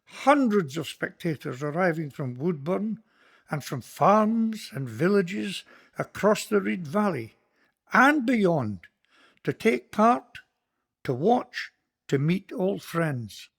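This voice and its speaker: An actor with a Scottish accent playing a kindly, earnest Presbyterian minister in a community radio play, speaking in a measured, sermon-like way. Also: male voice, sits high, sing-song, gravelly, slightly rough, exaggerated voice. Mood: nostalgic. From Scotland.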